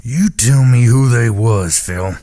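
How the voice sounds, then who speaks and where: A man's voice: mid-range voice, slow pace, dark tone, lightly acted. Man as a blunt, rustic cowboy. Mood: vengeful. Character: hot-tempered, loyal Old West cowboy out for revenge. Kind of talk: audio drama acting.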